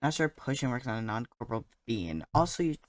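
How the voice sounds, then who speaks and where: A woman's voice: extremely low pitch, sing-song, quick pace, no room sound. A woman streamer giving short, casual live reactions to a game.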